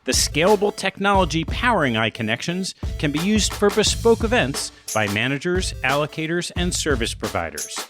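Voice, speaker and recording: Measured male podcast host over music. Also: a little high, sing-song. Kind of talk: scripted intro and ad reads, then interview questions.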